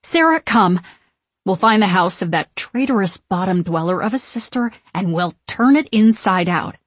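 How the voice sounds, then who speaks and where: An imperious eldest witch from a storybook, acted out by a female narrator, quick to anger and fond of old-fashioned scolding. She snaps out her words like orders, in a close, dry sound. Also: medium pitch, expressive, slightly rough, dry recording. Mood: scornful, commanding. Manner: snapping, archaic scolding. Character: imperious, short-tempered witch, eldest of three sisters.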